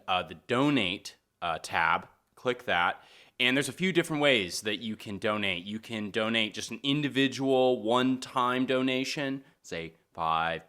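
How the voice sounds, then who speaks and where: Bright, chatty low-voiced man. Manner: informal, chatty. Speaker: theater fundraiser presenter. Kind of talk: casual donation appeal.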